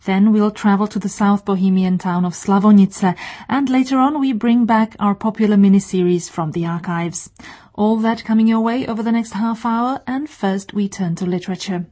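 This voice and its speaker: A woman hosting a radio magazine show, reading her introductions in a measured, clear announcing manner. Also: medium pitch, dark tone. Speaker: radio host. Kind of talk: scripted radio presentation.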